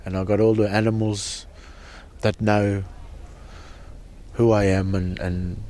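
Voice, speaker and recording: A man's voice: lowish voice, little pitch movement, slow, mellow. A man giving an earnest, impassioned personal account as a protester, often repeating and restarting his words. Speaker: protester at a sacred site camp.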